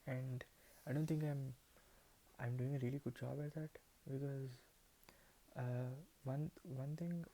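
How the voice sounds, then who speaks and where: A man's voice: medium pitch, steady tone, a little slow, mellow, slight background noise, rough recording. A man in his twenties whose first language is Telugu, talking unscripted to a camera in a hesitant way, with many restarts and repeated words. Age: 20s. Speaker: vlogger.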